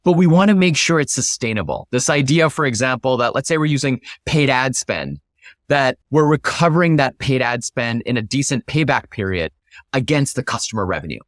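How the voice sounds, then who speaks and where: A man's voice: medium pitch, expressive, fairly quick, no echo, studio quality. A man giving structured, explanatory answers as an interview guest, stressing his key points. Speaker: interview guest, product leader.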